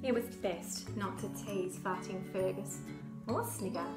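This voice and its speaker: A woman's voice: mid-range voice, measured pace. A woman author reading a children's storybook aloud with dramatic, playful expression. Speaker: author reading own book. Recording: roomy echo, music behind.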